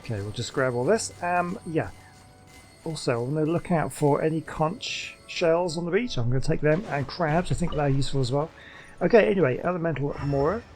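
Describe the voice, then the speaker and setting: A man's voice: on the high side, a little fast, darkish tone. A man giving casual running commentary on a game, half talking to himself as he plays. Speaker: gaming YouTuber. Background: game music.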